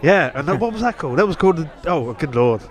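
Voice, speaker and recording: Male podcast host, higher-set voice, chatty and rambling, faint bustle behind him. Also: sing-song, fast, a faint crowd. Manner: rambling, self-correcting. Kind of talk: casual reminiscing chat.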